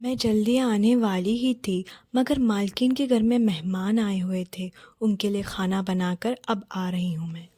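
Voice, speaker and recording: A woman voicing a humble, meek poor maid and mother in a children's cartoon, deferential, apologetic and soft-spoken. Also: medium pitch, fairly even intonation, unhurried, few pauses, dry recording, studio quality, natural voice. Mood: weary, resigned. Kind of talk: Hindi children's cartoon story acting.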